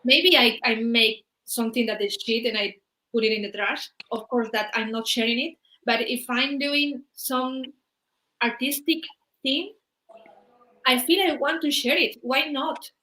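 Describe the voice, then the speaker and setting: Bright-voiced woman co-host. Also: fairly high pitch, rough recording. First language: Spanish. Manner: relaxed, laughs easily, translates in quick summaries. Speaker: co-host and interpreter. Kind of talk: casual live chat, switching to translate.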